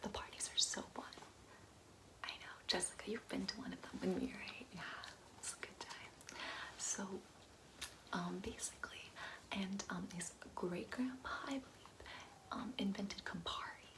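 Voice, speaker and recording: Breathy whispering girly woman doing asmr roleplay as a ditzy gold digger teacher, chatty and rambly. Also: medium pitch, moderate pace. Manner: chatty, girlish, rambling asides with "like". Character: ditzy, materialistic gold-digger giving a class. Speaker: ASMR roleplayer playing a class instructor.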